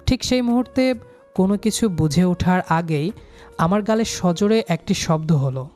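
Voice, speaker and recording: A man's voice: high-pitched, lively intonation, normal speed, darkish tone, soft background music. A young man narrating a scripted first-person love story in a dramatic, sentimental manner.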